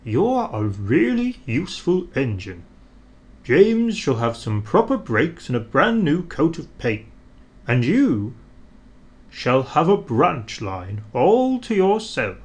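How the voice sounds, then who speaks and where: A man voicing a stern but kindly portly railway director in a storybook reading, measured and firm. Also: a little high, huge pitch swings, lo-fi sound, heavily acted.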